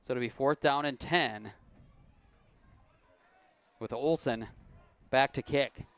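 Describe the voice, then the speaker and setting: A man calling a high school football game at an easy, unhurried pace. His voice sounds a little worn and cracks now and then. He is mid-pitched and relaxed behind the mic. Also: no room sound. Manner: calm, unhurried play calling with easy laughs. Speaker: play-by-play announcer.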